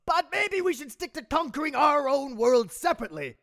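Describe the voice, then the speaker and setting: Shrill, creaky mad scientist villain. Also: male voice, expressive, a little fast, exaggerated voice. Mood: commanding. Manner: pompous, shouting, grandiose. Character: bombastic, vain mad scientist villain bent on world domination. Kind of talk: comedy audio drama acting. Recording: music behind the voice.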